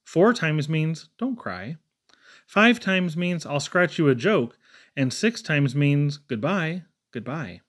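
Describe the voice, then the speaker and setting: A man reading children's poems aloud expressively, with theatrical pauses and emphatic swings. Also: fairly high pitch, animated, a bit bright. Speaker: poetry reader.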